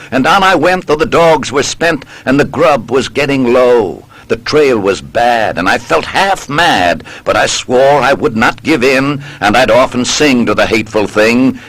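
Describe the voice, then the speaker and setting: A man reciting a narrative poem in a deliberate, dramatic storytelling way, drawing out the ends of his lines. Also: mid-range voice, fairly bright tone, rough recording. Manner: deliberate, storytelling delivery with drawn-out line endings. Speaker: poem reader.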